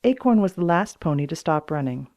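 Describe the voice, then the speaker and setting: A woman's voice: lowish voice, animated, fairly quick, a little mellow, dry recording, studio quality. A woman reading a children's pony story as scripted narration. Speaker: storybook reader.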